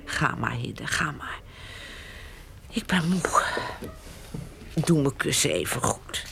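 A weary old farm mother in a radio play, slow and creaky. She is stubborn and caring, often dropping to a breathy near-whisper, with faint noise behind her. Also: extremely low pitch, animated, fairly bright tone, full character voice. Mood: tired, stubborn. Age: older. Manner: slow, halting, insistent. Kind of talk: Dutch radio drama acting.

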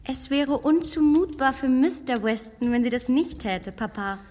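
An actress playing a confident young daughter who speaks briskly and reassuringly. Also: female voice, high-pitched, lively intonation, a little mellow, in a large hall, a little hiss or hum. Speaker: actress in a radio play.

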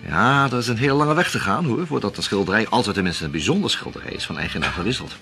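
An actor playing a smooth male art dealer in a radio play, charming, playful and evasive. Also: lowish voice, lively intonation, quick pace, pushed and bright, soft background music.

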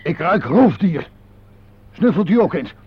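High-voiced, bossy male hunter. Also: very animated, measured pace, breathy edge, exaggerated voice. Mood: tense, stalking. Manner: bossy, intense, clipped. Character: sniffing, suspicious, trigger-happy hunter. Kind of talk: radio play acting. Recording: dull old radio recording.

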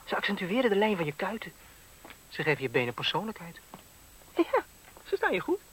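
Young designer, youngest son: lightish high voice, soft, hesitant, a touch affected, 1970s radio drama, faint noise. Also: male voice, huge pitch swings, brisk, full character voice, low-quality recording. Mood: admiring, yielding. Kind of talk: 1970s Dutch radio play acting.

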